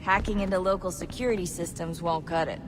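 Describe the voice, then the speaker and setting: A woman's voice: fairly low pitch, quick pace, loud music behind the voice, lightly acted. A woman playing a practical, caring young tech specialist in a video game, speaking casually and directly. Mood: matter-of-fact. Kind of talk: naturalistic video game cutscene acting.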